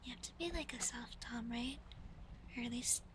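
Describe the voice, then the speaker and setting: A woman with a high voice whispers breathily as she chats in a casual ASMR style. She is playful and self-deprecating. Ambient noise is clearly audible behind her. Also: normal speed. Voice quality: whispery, breathy soft speech. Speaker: ASMR streamer. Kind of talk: ASMR, casual chat with listeners.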